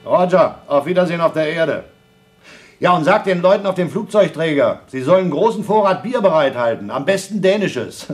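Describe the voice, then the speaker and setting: A man's voice: fairly high pitch, expressive, brisk, close to an everyday voice. A man playing a dutiful, sober astronaut on a moon base in a radio play, speaking in a matter-of-fact, wry manner.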